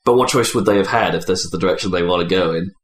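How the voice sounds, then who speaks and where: A man with a lowish voice talks as a podcast host in a loose, opinionated chat about games. He rambles informally. The room is echoey, with faint noise behind him. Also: very fast. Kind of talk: casual gaming podcast discussion.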